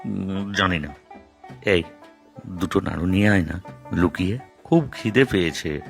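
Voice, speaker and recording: Someone doing a grumpy young groom for a kids cartoon, low croaky voice, squeamish, stammers when rattled. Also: lively intonation, measured pace, faint music behind, heavily acted. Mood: sheepish. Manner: grumbling, stammering when flustered, sneezing. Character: grumpy, squeamish young groom sneaking around, easily flustered. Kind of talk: Bengali children's cartoon voice acting.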